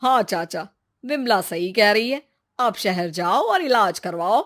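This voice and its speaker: A woman's voice: mid-range voice, sing-song, measured pace, fairly bright tone. A woman playing a curious, chatty, helpful village woman with an inquisitive, colloquial manner, in a cartoon moral story. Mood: encouraging.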